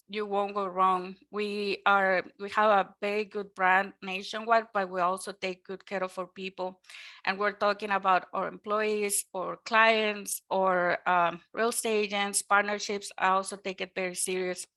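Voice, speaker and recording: A woman's voice: mid-range voice, pushed and bright. A woman with a Mexican Spanish accent, a native Spanish speaker from Mexico, giving an earnest, upbeat webinar presentation on home loans with frequent ums. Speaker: mortgage lender presenting a webinar.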